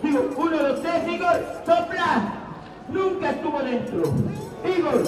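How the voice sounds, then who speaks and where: A Cuban man performs playful street magic patter in a strikingly high, projected voice. He jokes at his own expense as he works the audience. The sound is distant and echoey, with a lively crowd around him in the open air. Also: unhurried, background noise. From Cuba. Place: outdoor town square in front of an audience. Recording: echoey outdoor crowd recording from far off.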